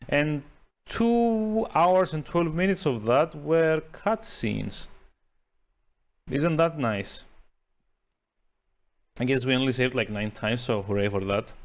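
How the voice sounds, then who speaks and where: A man's voice: mid-range voice, animated, slow pace, long pauses. A male streamer chats casually over his game at a very leisurely pace. His middling voice rambles and hesitates, with a dry, sarcastic edge. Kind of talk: casual live-stream commentary.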